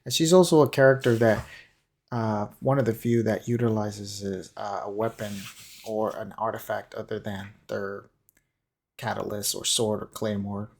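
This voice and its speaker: A man's voice: lowish voice, steady tone, normal speed, vocal fry, a little mellow, slight background noise. A man giving unscripted, explanatory commentary on a video game, musing tentatively as he goes. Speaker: gaming commentator. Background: faint music.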